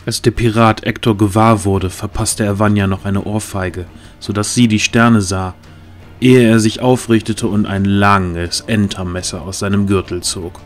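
A man narrates a fantasy audio play in a fairly low voice. It is scripted storytelling, with faint music running underneath. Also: steady tone, unhurried.

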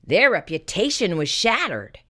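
A woman reading a children's picture book aloud in expressive, scripted narration. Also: mid-range voice, measured pace.

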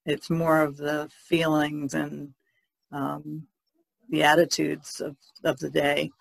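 A woman talks casually as a podcast panelist, thinking her way through in halting phrases. Her voice is creaky and recorded very dry. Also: deep voice, monotone, no room sound, poor audio. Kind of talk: casual discussion.

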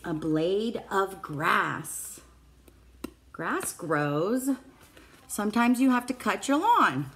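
Middle-aged female preschool teacher for a children's read-aloud; very unhurried, mid-pitched, speaks simply and directly to little ones. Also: sing-song, fairly bright tone, a little hiss or hum. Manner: simple child-directed phrasing with questions to listeners. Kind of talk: reading a picture book aloud to young children. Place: small classroom, indoors. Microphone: phone or tablet at arm's length.

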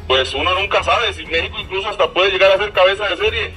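A man with a slightly high, bright voice explains rankings on a sports radio segment. He is factual and explanatory. Faint music plays in the background. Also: fast. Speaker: sports journalist.

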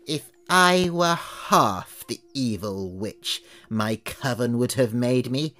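Man acting a scornful old witch, loud and slow. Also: medium pitch, voice pushed up. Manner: scornful, commanding, drawn-out delivery. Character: sneering, embittered old witch threatening an intruder.

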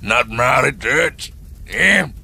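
A man voicing a dying, defiant outlaw gunman in a video game fan dub, gruff, bitter and short of breath, with a husky, gravelly voice. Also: mid-range voice, animated, slow pace, pushed and bright, full character voice. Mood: accusing. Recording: music under the voice.

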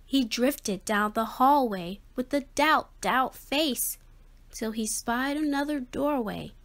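A woman reading a children's picture book aloud, expressively and with a measured, rhyming rhythm. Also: high-pitched, a bit bright. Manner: measured, rhythmic delivery of rhyme. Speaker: children's story reader.

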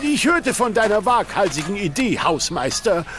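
A man playing a stuffy, sceptical father king in a children's audio drama, grumbling and deliberate. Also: sits high, big pitch swings, music in the background. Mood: sceptical, grudging.

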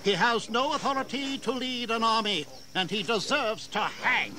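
An actor playing a proud, defiant old colonial governor in a historical audio drama, with formal, declamatory delivery. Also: male voice, very high voice, normal speed, over a noisy crowd. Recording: audible background noise and ambience.

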